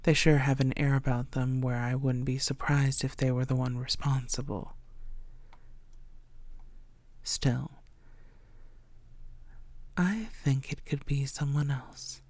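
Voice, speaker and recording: A woman playing a coy, secretive vampire who poses as a tired blood bank worker, speaking softly and playfully in an intimate audio roleplay. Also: a bass voice, steady tone, slow pace, long pauses, no echo, exaggerated voice. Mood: musing, playful. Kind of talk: intimate scripted audio roleplay addressed to the listener.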